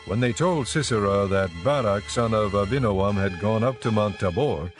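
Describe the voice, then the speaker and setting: A man's voice: lowish voice, moderate pace, over music. A man reading as the narrator of a scripted, dramatized scripture audiobook.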